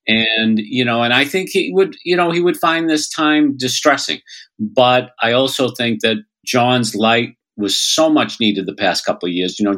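A middle-aged man with a Boston accent and a medium-pitched voice. He talks in a casual, conversational way as a guest, earnest and given to repeating phrases. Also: fairly bright tone. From Boston, United States. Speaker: podcast guest, nonprofit partnerships expert.

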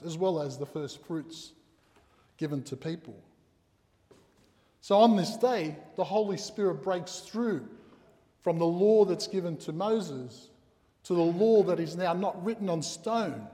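A man's voice: on the high side, darkish tone. A man whose first language is Croatian preaching a sermon in a church, earnest and emphatic, posing rhetorical questions. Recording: reverberant hall sound.

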